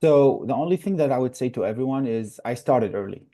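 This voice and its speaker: A man's voice: medium pitch, lively intonation, fairly quick, dark tone. A young man from Iraq, a new resident doctor, answers interview questions earnestly, with frequent fillers. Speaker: guest, newly matched resident.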